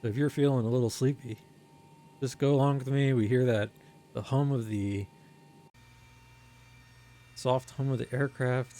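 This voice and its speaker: A man's voice: medium pitch, fairly even intonation, warm tone, a little hiss or hum. A man streaming a flight sim, explaining each step in casual commentary while thinking aloud and reading out numbers carefully. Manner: reads out numbers slowly and carefully.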